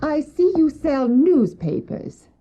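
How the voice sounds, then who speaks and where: A woman's voice: high voice, sing-song, slow, warm tone, heavily acted. A woman playing a kindly, gracious, wealthy lady in an old-fashioned audio drama. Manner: gracious, exclamatory. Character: well-dressed, kindly, wealthy lady in a carriage. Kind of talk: retro audio drama acting. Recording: dull old cassette tape.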